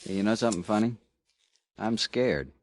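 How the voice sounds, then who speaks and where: An actor in a 1950s radio drama playing a weary, hard-drinking frontier reporter with a folksy, blunt way of talking, on a noisy old broadcast recording. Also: male voice, lowish voice, normal speed, close to an everyday voice.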